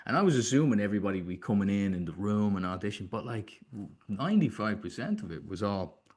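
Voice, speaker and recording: A man's voice: on the low side, very animated, quick pace, darkish tone. A young Irish man hosting a casual interview in an informal, loosely hesitant way. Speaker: podcast host.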